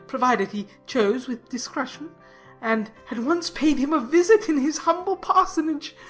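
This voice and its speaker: A woman's voice: high-pitched, pitched above the performer's own voice. A woman voicing a pompous, self-important and obsequious clergyman in a dramatized classic novel, speaking in wordy, formal sentences. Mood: smug, reverent.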